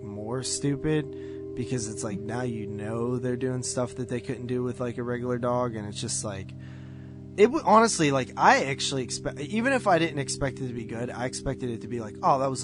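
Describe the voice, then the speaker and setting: A man co-hosting a movie podcast in casual review chat, slangy and blunt, with some mild swearing. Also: medium pitch. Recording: guitar music playing behind the voice.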